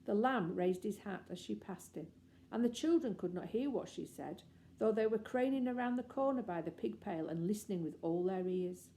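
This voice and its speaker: A woman reads a children's story as a narrator. Her voice has a mellow, rounded tone at a medium pitch. Light background noise runs under the recording. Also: expressive, moderate pace. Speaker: audiobook reader.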